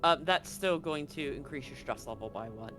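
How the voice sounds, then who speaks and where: A man's voice: a little high, lively intonation, moderate pace, music in the background. A man narrating a tabletop game as game master in an informal, joking way.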